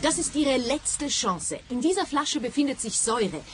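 An actress playing a bitter, entitled widow in a detective radio play, dramatic and threatening in manner. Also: female voice, high-pitched, big pitch swings, moderate pace, over loud music.

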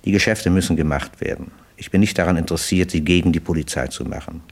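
A smooth gangster boss with a very low voice. He speaks with businesslike courtesy and cool menace, in the manner of 1960s radio drama. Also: fast talker. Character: smooth, calculating male crime boss proposing deals. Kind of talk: 1960s German radio play acting. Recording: dry 1960s radio studio recording.

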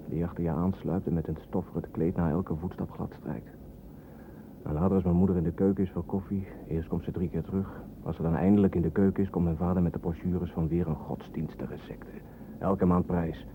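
A muffled, noisy old radio play recording of a cynical man on the run. His low voice is sardonic and wordy, with a resentful edge. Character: cynical army deserter on the run, resentful son.

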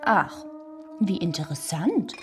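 A woman's voice: lowish voice, very animated, slow, soft background music. A woman playing a doting, placid superhero-family mother in a children's radio play.

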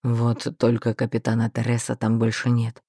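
A woman voicing a calm, authoritative male mage who speaks quietly and evenly. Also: extremely low pitch, breathy edge, no room sound, clean studio sound, pitched below the performer's own voice, exaggerated voice. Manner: level, quiet, measured.

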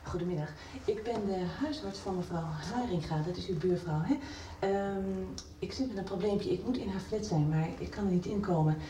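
A woman's voice: medium pitch, brisk, few pauses, noisy background, natural voice. A woman playing a busy female family doctor in a radio soap, practical, friendly and informal. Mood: polite, insistent. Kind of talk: 1990s Dutch radio soap acting.

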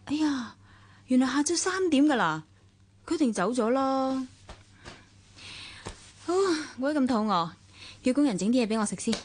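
A woman's voice: high voice, expressive, moderate pace, long pauses, a little mellow. An actress playing a sociable, reflective well-off woman in a radio drama, with a Hong Kong Cantonese accent. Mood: surprised. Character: well-off, sociable, somewhat wistful woman narrating her romance.

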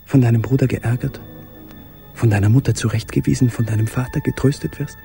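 A man acting a brooding, tender, secretive letter writer in a radio play, with halting, deliberate phrasing. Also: mid-range voice, fairly even intonation, creaky, warm tone, soft background music, lightly acted. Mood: longing.

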